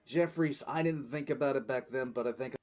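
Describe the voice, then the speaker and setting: A man playing a weary, resolute military commissar who recounts his war in deliberate, emphatic dramatic narration. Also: mid-range voice, a little mellow, lightly acted. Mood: wistful. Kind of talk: dramatic first-person audiodrama narration. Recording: music bed under the voice.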